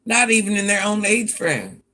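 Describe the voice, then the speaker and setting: Deep-voiced granny streamer, sassy, bright forceful delivery. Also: female voice, sing-song, moderate pace. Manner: sassy, talks back to commenters by name. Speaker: livestream host. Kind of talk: casual livestream chat.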